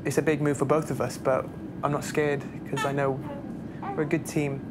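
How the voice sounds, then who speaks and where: A man's voice: on the high side, level tone, normal speed. A young man talking casually in an interview as a passenger. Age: young adult. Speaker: airline passenger, new parent. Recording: noisy cabin ambience.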